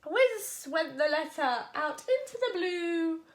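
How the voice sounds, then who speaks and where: A woman's voice: very high voice, lively intonation, slow pace. A woman storyteller reading a rhyming children's story expressively.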